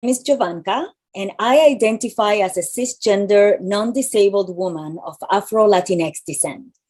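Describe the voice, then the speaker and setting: A woman with a mild Spanish accent and a deep voice reads welcome notes at a measured pace. She sounds like a host on a video call, speaking from a large room. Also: a little mellow. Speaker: event host. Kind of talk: reading scripted welcome announcements.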